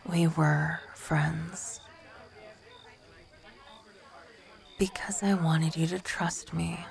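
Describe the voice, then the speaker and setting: A woman's voice: sits low, creaky, exaggerated voice. A woman acting as an awkward, nervous young female ghost in an ASMR roleplay, stammering, flustered and defensive. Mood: guilty, subdued.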